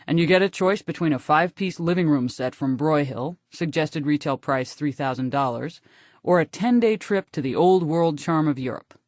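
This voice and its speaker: A woman's voice: sits low, moderate pace, a little mellow, dry recording, studio quality, lightly acted. A woman voicing an opinionated, earnest man who theorizes dreamily in an audiobook. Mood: lecturing. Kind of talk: audiobook character reading.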